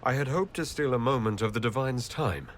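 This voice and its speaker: A man playing a courteous elven leader in a video game, measured and earnest. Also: medium pitch, a little hiss or hum, lightly acted. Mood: diplomatic. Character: composed, courteous male elf leader, earnest and concerned. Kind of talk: dramatic video game dialogue.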